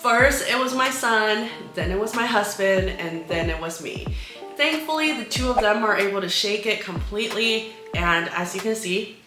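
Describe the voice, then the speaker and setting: A woman's voice: medium pitch, expressive, normal speed, roomy sound, music in the background. A woman from China in her thirties, talking casually to camera in a vlog while congested from a cold, chatty with many fillers. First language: Chinese. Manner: chatty, with frequent fillers and soft laughs. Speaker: English-teaching YouTuber.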